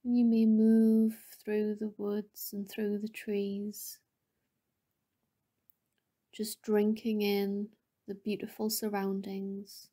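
A woman leading a guided meditation in a soft, breathy, often near-whispered voice, with a soothing, gentle manner and lingering pauses between phrases. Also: mid-range voice, completely flat delivery.

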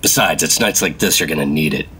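A gruff 1950s secret agent. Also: male voice, extremely low pitch, fast, sharp tone, slight background noise. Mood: brusque. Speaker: Operative-132. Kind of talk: 1950s radio drama acting. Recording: music score underneath.

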